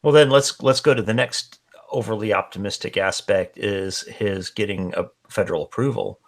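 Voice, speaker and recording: A man with a fairly low voice talks through a podcast discussion with blunt skepticism. He presses his points hard and swears when he gets worked up, in a casual, conversational delivery. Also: moderate pace. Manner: skeptical, emphatic, swears for emphasis. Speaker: podcast co-host.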